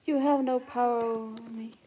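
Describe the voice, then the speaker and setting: Petulant, dramatic teen girl played by a young woman, high voice, theatrical amateur screen-drama delivery, slightly noisy home phone recording. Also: fairly even intonation, mellow, close to an everyday voice. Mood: solemn, defiant. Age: young adult. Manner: theatrical, declamatory, drawn-out. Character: dramatic, petulant, self-pitying teenage girl. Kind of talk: amateur film-scene reenactment. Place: indoor room at home.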